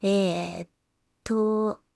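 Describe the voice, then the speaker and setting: A woman's voice: mid-range voice, steady tone, extremely slow, gravelly, dark tone, no echo. A woman creator casually thinking aloud as she works, muttering hesitantly to herself with polite asides to viewers. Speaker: solo animation creator and streamer.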